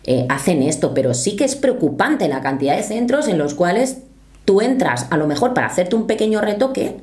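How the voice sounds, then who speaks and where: A woman's voice: mid-range voice, very animated, fairly quick, a little mellow. A woman, talking earnestly to camera from a small home studio and reading quotes aloud. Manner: earnest, explanatory, gestures with her hands. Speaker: youtuber commentator.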